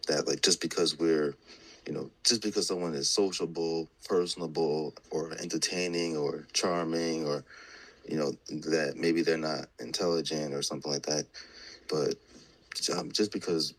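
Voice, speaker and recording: Man, very deep, husky, hesitant speaker in a group talk. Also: fairly bright tone, low-quality recording. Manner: hesitant, many fillers. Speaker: discussion participant. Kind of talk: group discussion.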